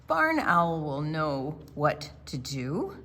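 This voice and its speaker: A woman's voice: low voice, sing-song, slow, a bit bright, voice pushed down, full character voice. A woman voicing a small storybook miniature horse, timid but hopeful, with earnest, eager emphasis.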